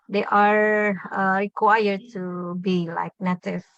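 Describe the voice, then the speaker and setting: A woman from the audience, answering the lecturer in halting, hesitant English. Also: medium pitch, little pitch movement, fairly bright tone, clear, resonant tone, no room sound, muffled recording. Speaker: audience member.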